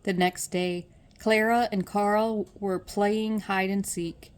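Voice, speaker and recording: An older woman reading a children's story aloud as a storyteller, measured and expressive. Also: medium pitch.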